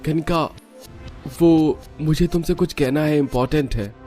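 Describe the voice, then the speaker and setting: A man's voice: fairly high pitch, unhurried, warm tone, faint music behind, pitched above the performer's own voice, natural voice. Man doing a hesitant lovestruck young student. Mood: nervous. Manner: hesitant, stammering. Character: timid, lovestruck young bespectacled male student. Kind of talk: Hindi cartoon story voice acting.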